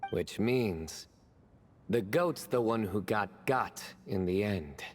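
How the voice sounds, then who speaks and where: A man voicing a dry, self-assured young joker in a video game, fond of deadpan puns. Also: lowish voice, slow, a little hiss or hum. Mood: dry, joking. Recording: long hall reverb, music behind.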